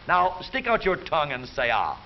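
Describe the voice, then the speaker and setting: A man's voice: a little high, sing-song, moderate pace. An American man narrating a 1930s newsreel from a script, with a jaunty, theatrical, wisecracking delivery. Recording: muffled, hissy 1930s newsreel soundtrack.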